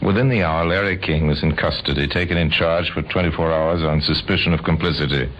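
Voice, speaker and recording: A man narrating an old-time radio crime drama in a grave, deliberate, dramatic manner with a sardonic edge. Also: extremely low pitch, steady tone. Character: grave, sardonic male narrator of murder cases. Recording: dull, noisy old radio recording.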